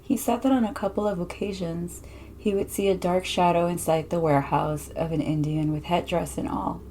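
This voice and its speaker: A woman's voice: on the low side, steady tone, moderate pace, warm tone, slight background noise, rough recording. A woman hosting a podcast, reading a listener's spooky anecdote in a conversational way.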